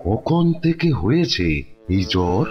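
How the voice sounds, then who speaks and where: A man playing a solemn, pompous royal physician in a kids' cartoon, speaking haltingly as he ponders. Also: lowish voice, very animated, muffled recording, faint music behind. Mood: pondering. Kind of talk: Bengali kids' cartoon voice acting.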